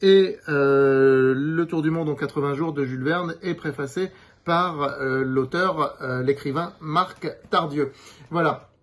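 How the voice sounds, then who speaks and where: A man's voice: fairly high pitch, slow pace. A man giving an unscripted promotional presentation as a publisher's representative, explaining things in a hesitant way.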